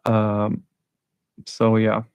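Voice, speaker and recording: A man's voice: on the low side, completely flat delivery, drawn-out words, vocal fry, clear, resonant tone, dry recording. A young man from South Asia hosting a live stream, telling travel stories in a rambling, casual way with many restarts. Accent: neutral international English, worked on. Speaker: channel host.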